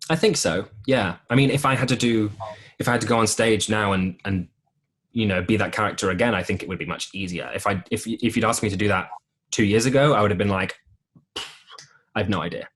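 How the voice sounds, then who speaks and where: A young man with a deep voice telling stories in a casual podcast interview, with plenty of ums and restarts. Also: brisk, slight background noise. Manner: relaxed storytelling with many ums and restarts. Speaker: podcast guest, musical theatre performer.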